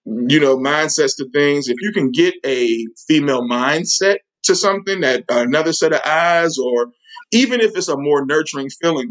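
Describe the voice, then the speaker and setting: A man's voice: fairly high pitch, a bit bright, poor audio. Emphatic man, a football coach. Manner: earnest and emphatic. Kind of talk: casual interview chat.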